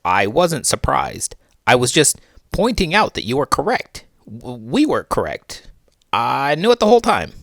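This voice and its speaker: A man's voice: mid-range voice, sing-song, unhurried, creaky, fairly bright tone, no echo, studio quality, voice pushed up, full character voice. A man voicing a boastful pig puppet who stammers when caught out.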